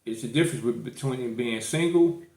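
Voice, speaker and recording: A man's voice: mid-range voice, moderate pace, mellow. A man with a St. Louis accent hosting a podcast, pressing his point in a casual debate and repeating himself. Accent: St. Louis, Missouri. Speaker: podcast host. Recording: echoey room.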